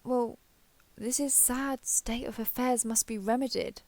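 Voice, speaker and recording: Woman playing a proud, creaky-voiced ancient female dragon. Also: fairly high pitch, steady tone, a little slow, a little mellow, a little hiss or hum. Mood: resolute. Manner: formal, archaic, haughty phrasing. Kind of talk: scripted fantasy roleplay audio.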